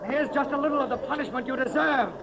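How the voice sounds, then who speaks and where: An actor in a 1930s radio serial playing a bold, commanding hero emperor with a declamatory, decisive delivery. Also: male voice, mid-range voice, animated, fast, close to an everyday voice. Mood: defiant, commanding. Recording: noisy old 1930s radio recording.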